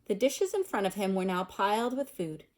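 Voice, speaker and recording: A woman's voice: mid-range voice, very animated, moderate pace, darkish tone. A woman reading a storybook aloud expressively, as a storyteller who adds casual asides.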